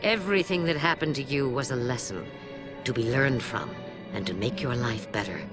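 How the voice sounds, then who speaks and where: A serene, stern prophetess acted out by a male storyteller who preaches in measured phrases. It is a legend told in a video game, with music playing behind. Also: mid-range voice, natural voice. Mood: stern, instructing.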